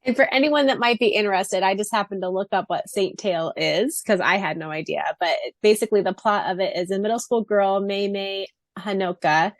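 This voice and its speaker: A woman co-hosting a podcast in casual fan chat, expressive and giggly in a chatty way. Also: medium pitch, brisk, hardly any pauses, no room sound. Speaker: podcast co-host.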